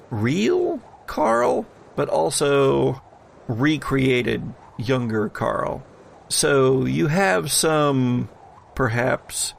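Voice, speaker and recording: A male game master narrates a tabletop horror game at a slow, measured pace. His voice has a marked creak and he leaves deliberate, dramatic pauses. There is faint noise behind him. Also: medium pitch.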